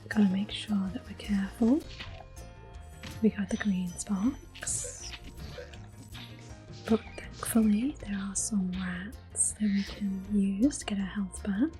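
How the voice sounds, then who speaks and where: A woman doing ASMR game commentary in a soft, breathy whisper, gentle and unhurried. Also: medium pitch, soft background music. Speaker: ASMR gameplay host.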